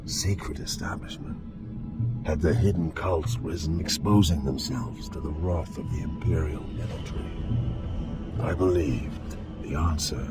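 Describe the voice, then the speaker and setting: Very deep, grim inquisitor. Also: male voice. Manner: grave, measured, brooding. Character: grim male inquisitor narrating his dark investigations. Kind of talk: scripted first-person game narration. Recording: loud music behind.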